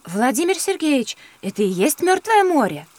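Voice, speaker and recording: A woman's voice: high-pitched, sing-song, moderate pace. A woman voicing a polite, inquisitive little girl in an educational audio play for children. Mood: curious.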